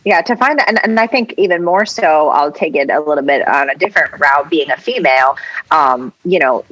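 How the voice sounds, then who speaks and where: Raspy-voiced young woman, candid chat. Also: low-pitched, lively intonation, a little fast, a bit bright, dry recording, rough recording. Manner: candid, chatty, heavy on filler words. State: hoarse from vocal cord injury. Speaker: podcast guest. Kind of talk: casual interview chat.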